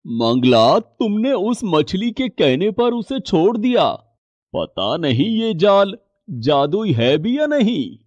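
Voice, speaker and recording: Man voicing a poor, high-voiced fisherman husband. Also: sing-song, takes it slowly, a little mellow, dry recording, highs cut off, exaggerated voice. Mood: doubtful. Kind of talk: cartoon story voice acting.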